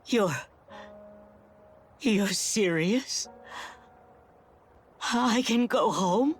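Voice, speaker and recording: An actress playing a frail, dying young woman in a video game, resigned and stubborn, with wistful, halting delivery. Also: mid-range voice, husky. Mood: stunned hope. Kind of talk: dramatic video game cutscene acting.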